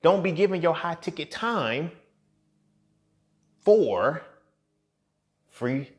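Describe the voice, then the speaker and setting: A man's voice: on the high side, sing-song, extremely slow. A thirty-year-old man giving a casual, unscripted podcast monologue in a blunt, slangy, heavily profane and emphatic way. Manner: emphatic, with rhetorical questions and check-ins. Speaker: podcast host and entrepreneur.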